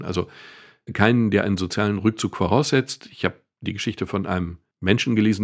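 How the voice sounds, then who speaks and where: A low, gravelly man with a husky edge to his voice, talking his way carefully through explanations in a relaxed podcast chat. He circles back on his words and slips in dry humor. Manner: thoughtful, explains at length with restarts and dry humor. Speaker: podcast co-host.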